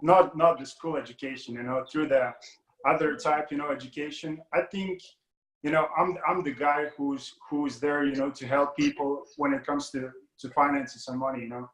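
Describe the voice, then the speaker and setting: A man from Lithuania speaks as a guest coach, his voice sitting somewhat high for a man. He rambles, circling back through fillers and restarts. The room around him is noticeably echoey. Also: fairly even intonation, normal speed, a bit bright. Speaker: guest money mindset coach. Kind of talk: casual interview talk.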